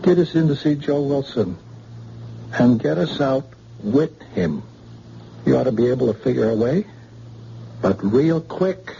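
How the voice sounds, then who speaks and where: Gangster, male,, slangy drawled threats, lively pitch, dark tone, old radio sound. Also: a little slow, gravelly, pauses often. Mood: menacing. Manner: slangy tough-guy talk, drawled threats. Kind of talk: 1940s radio acting. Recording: dull old radio recording, faint hiss.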